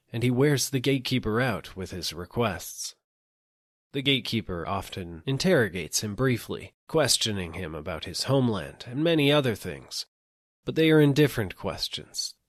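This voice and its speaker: A man narrating an audiobook, telling a literary story in a measured, deliberate way, phrase by phrase. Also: on the low side, no echo, clean studio sound.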